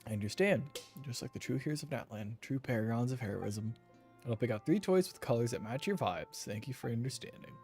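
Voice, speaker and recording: A man's voice: medium pitch, lively intonation, fairly quick, a little mellow, faint music behind, natural voice. A man voicing a flustered, grateful old alpaca park keeper in a video game, fussy and earnest. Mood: apologetic, grateful. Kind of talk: reading game dialogue in character.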